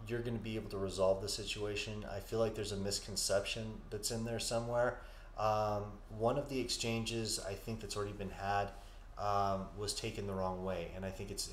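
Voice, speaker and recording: Low-voiced man giving hesitant psychic readings. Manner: hesitant, filler-heavy, reassuring. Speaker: psychic medium podcast co-host. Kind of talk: live-stream psychic readings and chat. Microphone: studio mic. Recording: echoey room with faint background noise.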